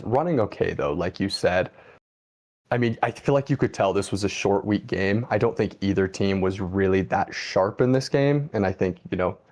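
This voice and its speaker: A man's voice: low-pitched, darkish tone. A man hosting a casual football talk show, giving opinions with lots of "I mean" and "like.". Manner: conversational, many "I mean" and "like" fillers. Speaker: host.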